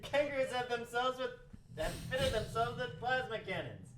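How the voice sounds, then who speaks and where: A man's voice: very high-pitched, lively intonation, normal speed, pitched above the performer's own voice. A man playing a sardonic, exasperated, skeptical scientist in an amateur radio play table read. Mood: alarmed. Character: exasperated, skeptical, foul-mouthed male robotics scientist. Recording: roomy, distant mic with clear noise.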